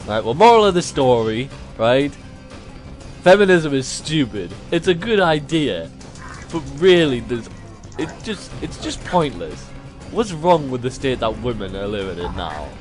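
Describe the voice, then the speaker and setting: A man's voice: mid-range voice, sing-song, a little slow, faint music behind. A young man chatting casually while gaming, telling anecdotes and giving opinions. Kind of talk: casual chat while playing a game.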